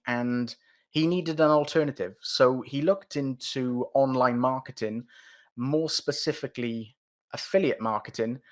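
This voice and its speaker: A man hosting a podcast interview, talking in a friendly, loose way with small restarts. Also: medium pitch. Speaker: podcast host.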